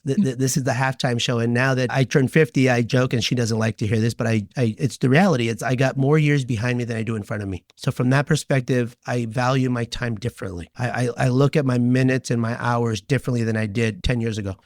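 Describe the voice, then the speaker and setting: A man's voice: medium pitch, steady tone, fast talker, hardly any pauses, dry recording, clean studio sound. A man in his fifties, a guest in a candid podcast interview, speaking in a reflective way and often repeating words as he starts a sentence. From Southern California. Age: 50s. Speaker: guest, former mayor and wine shop owner.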